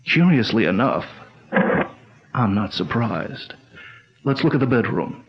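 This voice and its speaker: A calm detective chief who lays out clues in a steady, commanding voice, played by a man. The voice sits at an ordinary mid pitch. The sound is dull and lo-fi, like an old radio recording. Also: a little slow, slightly rough, heavily acted. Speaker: lead detective. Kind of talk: 1940s radio drama acting.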